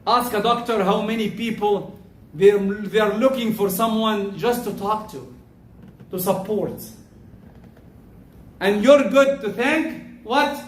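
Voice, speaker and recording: A man giving a Friday sermon as khatib, loud and emphatic, stressing words as he preaches. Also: high voice, slow, long pauses. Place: mosque prayer hall. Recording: echoey hall, mic from far off.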